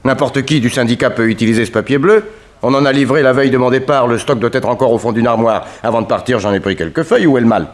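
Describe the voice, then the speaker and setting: A man's voice: medium pitch, quick pace, natural voice. An actor playing a nervous, evasive man of thirty-five under suspicion, defensive and indignant, in a 1960s French radio drama.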